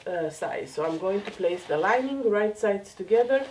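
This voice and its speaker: A woman's voice: medium pitch, animated, normal speed, a little mellow, far from the mic, slight background noise. Nasal woman with a cold, chatting while sewing. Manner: chatty, self-deprecating, talks while working. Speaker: sewing vlogger. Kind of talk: casual sewing tutorial. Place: home craft room.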